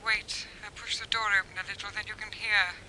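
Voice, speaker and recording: A woman's voice: mid-range voice, level tone, brisk, close to an everyday voice. An actress playing a mysterious, coolly insistent woman on the telephone in a radio drama. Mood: calm, insistent. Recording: noisy, distant telephone voice.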